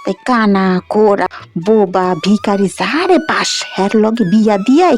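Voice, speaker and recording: A woman's voice: medium pitch, lively intonation, measured pace, a little mellow, faint music behind, natural voice. Woman as a spiteful old aunt, East Bengali dialect. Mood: conspiratorial. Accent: rural East Bengali dialect. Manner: gossipy, scornful. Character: meddling, spiteful old village great-aunt. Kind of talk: animated fairy-tale voice acting.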